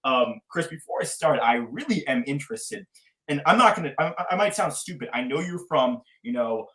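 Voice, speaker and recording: A man's voice: mid-range voice, animated, normal speed, a bit bright. Brief: young male host, eager and hyped, echoey room. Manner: enthusiastic, hype-style introductions. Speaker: podcast host. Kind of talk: casual interview talk.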